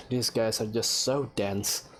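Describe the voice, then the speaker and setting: A woman's voice: extremely low pitch, fairly even intonation, takes it slowly, soft background music. A woman giving casual commentary as she plays a video game. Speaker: let's player.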